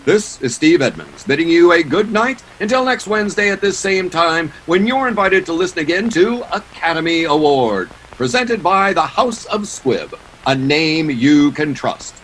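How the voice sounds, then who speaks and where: A man's voice: on the high side, very animated, moderate pace, fairly bright tone, slight background noise, low-quality recording. A man acting a polished 1940s radio announcer, delivering sponsor copy and sign-offs with showy salesmanship. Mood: formal sign-off.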